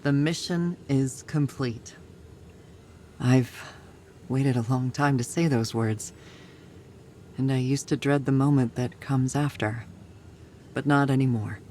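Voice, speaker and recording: A woman's voice: rumbling low, slow pace, pauses often, darkish tone. An actress playing a battle-weary, resilient woman soldier in a video game, speaking plainly and reflectively with a wry edge. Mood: relieved, resolute. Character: battle-weary, resilient female soldier, tough but tender. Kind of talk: dramatic video game cutscene acting. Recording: music or faint ambient noise behind.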